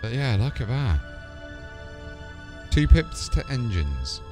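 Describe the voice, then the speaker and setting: A man's voice: mid-range voice, big pitch swings, takes it slowly. A man giving live gameplay commentary, talking to himself as he reacts to what happens in play. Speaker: video game streamer. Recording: music behind the voice. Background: game music.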